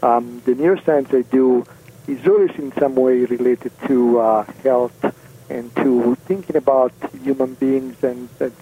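A man from Italy with an Italian accent talks as a radio guest, halting and filling pauses with ums and uhs. He jokes now and then. A faint hiss sits under his voice. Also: mid-range voice, fairly even intonation, phone-quality sound. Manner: hesitant, many ums and uhs, joking. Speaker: guest neuroscientist. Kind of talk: radio interview. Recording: faint background noise.

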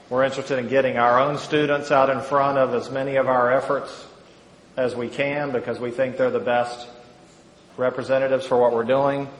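A middle-aged man speaking as a university chancellor in an interview, measured and earnest. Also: mid-range voice. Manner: measured, earnest, restarts phrases. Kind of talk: promotional interview. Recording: echoey room with long reverb, faint noise.

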